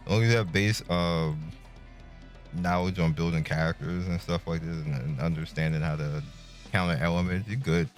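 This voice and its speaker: Deep-voiced man doing casual game commentary. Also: fairly even intonation, moderate pace. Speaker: gaming video host. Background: music.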